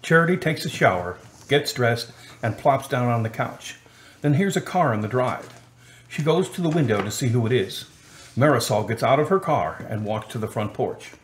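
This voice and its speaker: A man's voice: mid-range voice, expressive, normal speed. A man narrating a radio drama from a script in the present tense.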